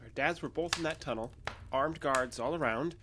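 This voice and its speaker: An actress playing a guarded, evasive wounded character who hides the truth with hesitant speech in an audio drama. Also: female voice, extremely low pitch, moderate pace, noisy background. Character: guarded, evasive wounded alien passing as human. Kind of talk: naturalistic audio drama acting.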